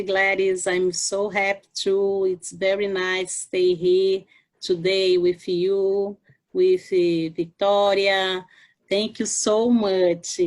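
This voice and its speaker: A woman from Brazil, a native Portuguese speaker with a Brazilian accent, giving a webinar talk and pausing often to search for words. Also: medium pitch. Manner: halting, searching for words with fillers. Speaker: guest speaker. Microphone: video call.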